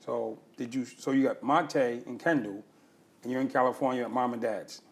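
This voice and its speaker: A man's voice: medium pitch, normal speed, vocal fry. A man hosting an interview, asking probing questions and echoing the guest's answers back. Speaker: interview host. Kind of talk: conversational interview.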